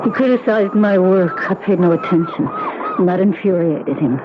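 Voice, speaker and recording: A woman's voice: medium pitch, expressive, moderate pace, close to an everyday voice. An actress playing an anguished, guilt-ridden woman painter with theatrical intensity in a 1970s radio drama. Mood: haunted, halting. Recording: dull, noisy old radio recording.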